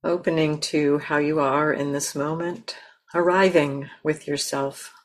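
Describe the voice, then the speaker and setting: A woman with a low voice guides a meditation. She speaks very slowly and gently, leaving long pauses between short phrases as she instructs the listener. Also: fairly even intonation. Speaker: meditation teacher.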